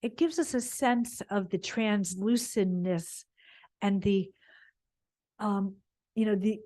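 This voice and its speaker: Woman, meditation and yoga teacher, medium pitch, slow delivery, halting, meandering, unscripted guided instruction. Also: darkish tone. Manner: meandering, halting, searching for words. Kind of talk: guided gentle yoga body scan, unscripted spiritual instruction.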